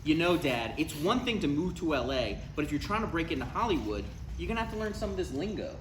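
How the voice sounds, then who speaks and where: A man's voice: on the high side, big pitch swings, quick pace. A man playing a dry, skeptical, easily exasperated adult son in a live comedy table read. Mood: dry, teasing. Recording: echoey live room, faint noise.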